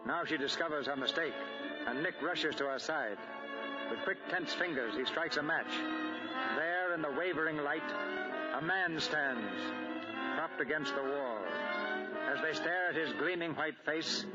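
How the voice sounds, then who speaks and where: A man's voice: on the high side, a little slow. A man reading dramatic scripted narration for a 1940s radio play. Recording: muffled 1940 radio broadcast. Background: loud music.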